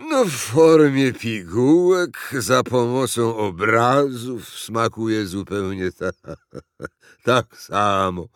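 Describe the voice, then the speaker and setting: A man voicing a fat, lazy, gluttonous president in a storybook, with laboured, sighing, halting speech. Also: mid-range voice, clean tone, no room sound, clean studio sound. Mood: laboured, self-satisfied.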